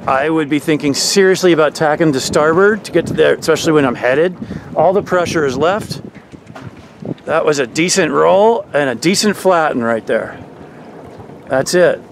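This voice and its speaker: A man with a slightly high voice coaches a sailing team from a motorboat. He mixes calm explanation with shouted commands to the sailors. Wind noise roars across the camera mic. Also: normal speed, creaky. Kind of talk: live on-the-water coaching commentary. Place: outdoors on a motorboat on a lake.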